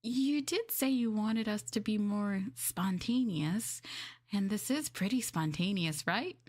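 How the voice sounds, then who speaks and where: A woman voicing a sweet, jealous, easily flustered nonhuman girlfriend in a roleplay audio drama, coy and teasing, with hesitant, expressive delivery. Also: medium pitch, slow. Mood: playful, coaxing. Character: sweet, jealous, flustered boneless creature girlfriend inhabiting her partner.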